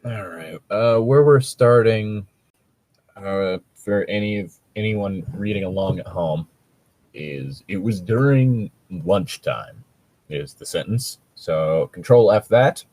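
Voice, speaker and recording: A man's voice: on the low side, lively intonation, slow, a little mellow, rough recording. A man co-hosting a podcast in casual chat, swearing freely and complaining dryly as he reads and picks things apart. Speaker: podcast co-host. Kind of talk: casual chat, reading and mocking fan fiction.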